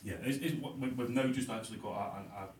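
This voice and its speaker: A man's voice: medium pitch, quick pace, echoey room, a little hiss or hum. A Glaswegian man, a barber and radio guest, chatting casually with many fillers and repeated phrases. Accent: Glaswegian Scottish. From Glasgow, Scotland.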